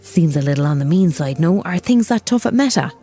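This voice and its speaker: Female news podcast host, slightly low voice, wry questioning style, faint music underneath. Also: lively intonation, brisk, mellow. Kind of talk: conversational news interview. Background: background music.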